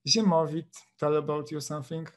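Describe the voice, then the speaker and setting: A man from Poland with a Polish accent, a teacher hosting a video call chat in English, hesitant with many pauses. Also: on the high side, flat delivery. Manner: hesitant, many uh fillers, friendly questioning. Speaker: host, teacher.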